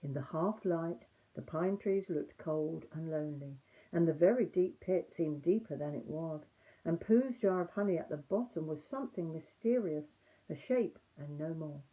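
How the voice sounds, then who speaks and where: An older woman reading a children's story aloud in an expressive, emphatic storytime manner. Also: deep voice, normal speed, narrow, tinny audio. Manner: animated, emphatic reading for young listeners.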